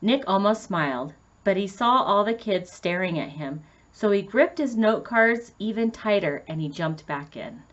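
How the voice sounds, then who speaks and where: A woman's voice: mid-range voice, lively intonation, moderate pace, few pauses, muffled recording. A woman narrating a children's story in a scripted read-aloud style.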